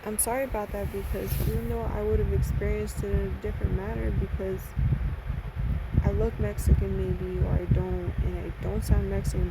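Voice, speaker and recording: Tearful woman with a gravelly voice. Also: medium pitch, very noisy. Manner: halting, repetitive, sighing between thoughts. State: sad, near tears. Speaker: vlogger. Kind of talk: emotional personal video message.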